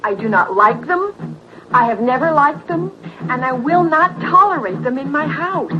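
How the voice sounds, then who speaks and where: A fussy, stern housewife in an old radio ad, high-pitched and swooping as she lays down the law. The sound is dull and lo-fi, with music behind her. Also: expressive, moderate pace, background noise. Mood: indignant. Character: stern fussy housewife who hates roaches. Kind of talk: vintage radio commercial acting.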